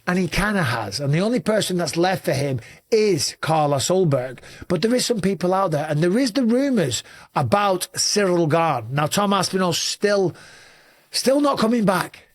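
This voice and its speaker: A middle-aged man with an English accent, hosting a fast, shouty commentary monologue, his voice a little raspy. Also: sits high, no echo. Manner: talks very fast and shouts a lot. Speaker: host.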